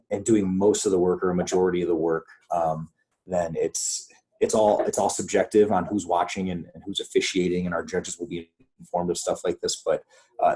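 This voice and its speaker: A man with a husky voice leading a coaches' conference call, explaining guidelines in an informal, conversational way. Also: low voice, a little mellow, rough recording. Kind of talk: informal instructional presentation with questions.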